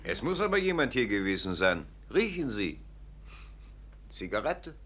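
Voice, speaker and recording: A ponderous know-all in an old radio drama. His low voice rises and falls expressively as he lectures with grave irony. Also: big pitch swings, normal speed, fairly bright tone. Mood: alert. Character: knowing, ponderous male expert on poisons, gravely ironic. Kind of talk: 1950s German radio play acting.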